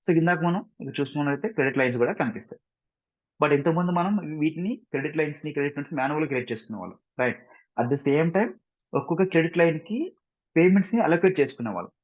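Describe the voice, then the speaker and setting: A man with a Telugu Indian accent giving an informal screen-share software tutorial, mixing in English technical terms and often checking understanding with short tag questions. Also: a little high, very fast. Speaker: software instructor. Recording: dull, muffled lo-fi sound.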